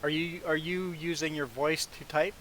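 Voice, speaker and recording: A man from Canada whose first language is Low German, chatting casually as he hosts a livestream of a farming game. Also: fairly even intonation, moderate pace, a bit bright. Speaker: livestream gaming host. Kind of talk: casual chat while streaming a farming game. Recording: clear background hiss.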